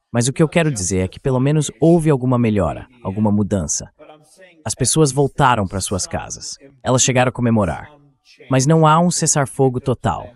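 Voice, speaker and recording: A man's voice: medium pitch, darkish tone. A man with a Brazilian Portuguese accent, a synthetic voice-over for a conversational news discussion.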